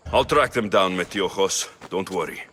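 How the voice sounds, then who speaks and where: An actor playing a young, steady, dry-witted mercenary hero in a video game. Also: male voice, deep voice, normal speed, slight background noise. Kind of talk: video game dialogue acting. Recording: music under the voice.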